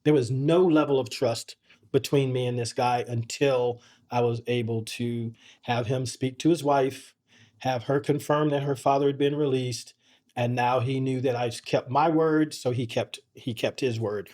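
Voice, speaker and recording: Man, middle-aged, podcast guest, earnest, measured, reflective, mid-range voice. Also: mid-range voice, mellow. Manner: earnest, measured, with frequent restarts. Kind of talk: reflective conversational storytelling.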